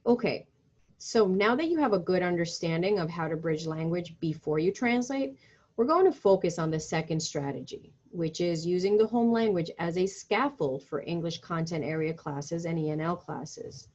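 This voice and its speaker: A woman presenting a teacher-training webinar, reading through her slides in a steady, instructive way. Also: lowish voice, normal speed. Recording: muffled lo-fi webinar audio.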